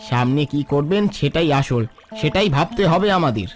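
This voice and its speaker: Man voicing a forgiving villager, higher-pitched. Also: animated, normal speed, close to an everyday voice. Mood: consoling. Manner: respectful, consoling. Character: humble, forgiving poor villager, calm and philosophical. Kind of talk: dramatic cartoon dialogue. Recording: music bed under the voice.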